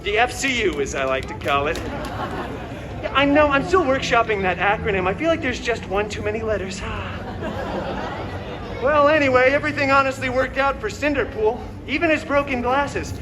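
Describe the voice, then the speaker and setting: A man's voice: extremely high pitch, expressive, normal speed. A man playing a cocky, wisecracking comic-book antihero, telling a fairy tale theatrically to a live audience with sarcastic asides. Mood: boastful, sarcastic. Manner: sarcastic, self-mocking asides. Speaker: costumed character performer hosting live story show. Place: outdoor theme park show, filmed from audience. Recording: noisy outdoor crowd recording of live show.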